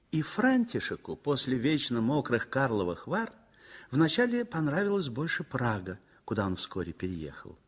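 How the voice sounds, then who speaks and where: A man with a husky, slightly breathy voice reads a literary story aloud for a radio play. His pitch rises and falls freely, and he lets each phrase swing with feeling. The delivery is measured and expressive, like classic radio theatre. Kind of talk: scripted literary narration, 1960s radio theatre.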